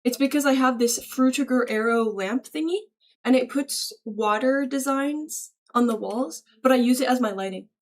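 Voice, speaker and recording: A woman's voice: high voice, normal speed. A woman livestreamer casually reading and answering viewer messages aloud in a slangy, chummy, thankful way. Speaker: livestreamer greeting chat.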